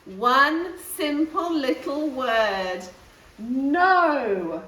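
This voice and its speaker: A woman's voice: high-pitched, expressive, very slow, pushed and bright, pitched above the performer's own voice, full character voice. A woman voicing a stern male brigadier who trains dogs, giving clipped, commanding orders in a storybook reading. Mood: barking orders. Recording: roomy echo, distant mic.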